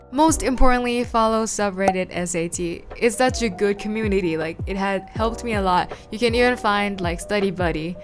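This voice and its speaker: A young woman from Thailand talks in a relaxed, chatty vlog voice, sprinkling in "like" and "um" as she goes. Her voice sits in a mid pitch, and English is her second language after Thai. Clear music plays under her throughout. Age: young adult. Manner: chatty and informal, with frequent "like" and "um". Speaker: vlogger. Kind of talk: casual study-tips vlog.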